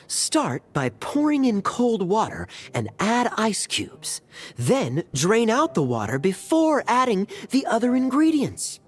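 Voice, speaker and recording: Brief: smooth-talking sly knight, slow, husky, lilting, light music beneath. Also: male voice, high voice, full character voice. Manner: teasing, smooth and unhurried. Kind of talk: game voice acting.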